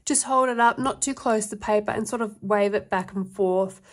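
A woman giving a casual flip-through review of an art workbook, reading passages aloud and adding her own notes with relaxed fillers. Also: medium pitch, moderate pace.

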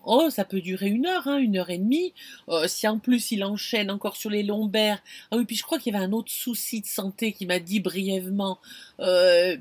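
Middle-aged woman, husky voice, lively storytelling, dry close sound in a camper van. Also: mid-range voice, no echo. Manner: animated, expressive, addresses the viewer directly. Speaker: vlogger. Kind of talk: casual storytelling to camera. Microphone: camera close in front.

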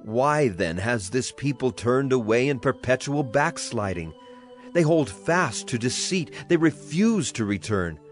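Casting: solemn male prophet played by a man, declamatory, mid-pitched, dramatized scripture with faint music underneath. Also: animated, a little slow, lightly acted. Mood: grave. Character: solemn prophet voicing a grieving, wrathful God. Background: music behind the voice.

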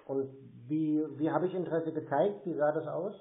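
A man's voice: a little high, normal speed, a little hiss or hum. A man in a phone conversation, asking probing questions in a persistent, challenging manner. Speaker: prank callee confronting phone scammers. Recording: tinny narrow phone line.

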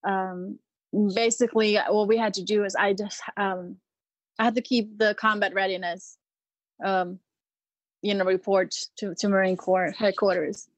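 A woman's voice: mid-range voice, fairly even intonation, a little slow, no room sound, rough recording. A woman in her thirties from Peru, a Marine veteran guest talking casually with lots of hesitant ums and restarts. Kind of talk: casual interview conversation.

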